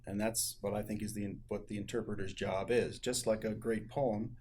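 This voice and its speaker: A man's voice: on the low side, steady tone, moderate pace, darkish tone, a little hiss or hum. A man speaking earnestly and with emphasis as a musician explaining his craft in an interview. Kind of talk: candid interview talk about music.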